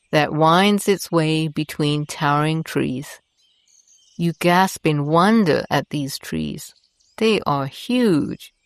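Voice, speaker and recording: A woman host narrating a guided meditation in a gentle, soothing and deliberate manner. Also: low-pitched, no room sound.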